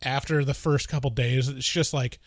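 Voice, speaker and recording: A man's voice: medium pitch, fairly even intonation, normal speed, no room sound, studio quality. A man in casual movie review chat, talking loosely with lots of "like" and "you know" and the odd laugh. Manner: chatty, frequent "like" and "you know", laughs between points.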